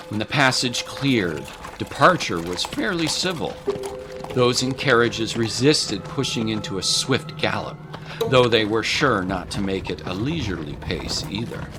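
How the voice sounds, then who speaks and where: A man narrating a scripted dramatic story as a voice-over. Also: fairly low pitch.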